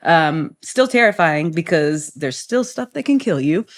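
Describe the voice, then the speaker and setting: A woman's voice: deep voice, expressive, unhurried. A young woman from Florida, a singer guest, talking in a chatty, rambling way and laughing often. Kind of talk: casual interview chat.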